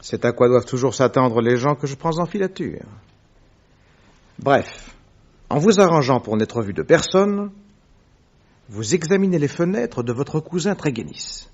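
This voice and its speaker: A man's voice: medium pitch, expressive, a little slow, long pauses. A dull, lo-fi radio drama recording carries a commanding male detective. His intonation rises and falls widely as he lays out each point precisely and assertively, in a theatrical, methodical manner. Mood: cold, accusing. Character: shrewd, commanding male detective laying out an accusation.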